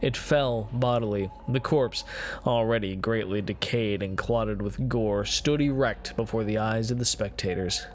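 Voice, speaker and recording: A man playing an unhinged, gloating murderer confessing his crime in a theatrical, deliberate gothic horror reading. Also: on the low side, slight background noise, close to an everyday voice. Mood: hushed dread. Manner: theatrical, ornate, deliberate phrasing with swelling emphasis.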